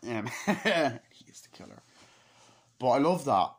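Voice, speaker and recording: A man in his twenties giving a rambling, informal, sweary movie review, excitable and breaking into acted-out snatches of dialogue. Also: mid-range voice, slow, breathy edge. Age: 20s. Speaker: amateur film reviewer. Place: small wood-panelled room indoors. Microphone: laptop microphone at close range.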